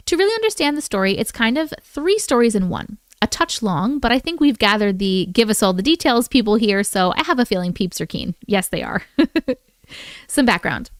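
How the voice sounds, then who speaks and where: A woman hosting a live stream, reading listener stories aloud in a casual, animated way with chatty asides. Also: mid-range voice, no echo, clean studio sound. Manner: animated, informal, interjects reactions.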